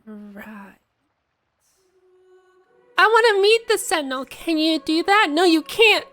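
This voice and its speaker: A woman's voice: very high-pitched, very animated, slow, a bit bright. A woman giving casual, skeptical and sarcastic reaction commentary as she streams a game story.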